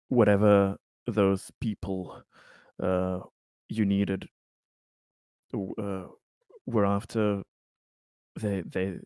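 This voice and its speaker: Man acting male company diplomat, tabletop game, smooth low voice, slow, halting, formal, evasive, dry sound. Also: dark tone, no echo. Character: polite, evasive male corporate representative in science fiction.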